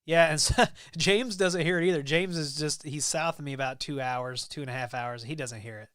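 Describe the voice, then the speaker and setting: Chatty Midwestern man, smooth clear voice. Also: a little high, normal speed, no echo, clean studio sound. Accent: Midwestern American. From American Midwest. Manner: rambling, relaxed, with many ums and restarts. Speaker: livestream host. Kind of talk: casual live-stream chat reading viewer comments.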